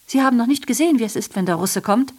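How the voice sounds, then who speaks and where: A woman's voice: fairly high pitch, lively intonation, very fast, darkish tone. A woman in a radio drama playing a sardonic messenger who reports on war and ruin with rhetorical questions and ironic asides. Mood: sardonic, agitated. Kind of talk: literary radio drama monologue.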